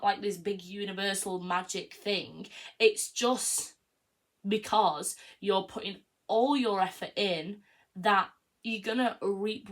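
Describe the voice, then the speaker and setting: Chatty young woman podcasting. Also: mid-range voice. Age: early 20s. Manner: chatty, frank and self-affirming, full of "like" and "you know what I mean". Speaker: podcast host. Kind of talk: casual solo podcast monologue.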